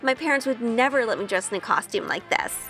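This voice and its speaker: A woman's voice: a little high, lively intonation, quick pace, a bit bright, faint music behind. Woman acting a wide-eyed young witch, Grace, reverberant. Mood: wonder-struck. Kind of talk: first-person audio drama narration with in-scene dialogue.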